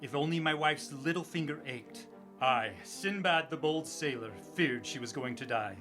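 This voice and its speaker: A man's voice: fairly high pitch, moderate pace, fairly bright tone, soft background music, lightly acted. An actor playing a bold sailor who tells his tale in a theatrical, formal storybook manner for a radio drama. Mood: grave narrating. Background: musical score.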